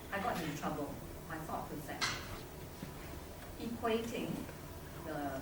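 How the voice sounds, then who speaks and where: An older woman from Singapore, a surgeon, giving an earnest, conversational talk to students, restarting her sentences as she goes. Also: sits low. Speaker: guest speaker, surgeon. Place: lecture hall. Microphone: distant from the mic. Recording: echoey, distant hall recording with loud hiss.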